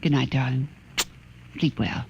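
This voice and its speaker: A woman playing an indulgent, slightly flustered mother and wife in an old-time radio drama, hesitant and gently coaxing. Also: extremely low pitch, steady tone, unhurried, gravelly, lo-fi sound, exaggerated voice. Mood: gentle, weary. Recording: faintly noisy old radio recording.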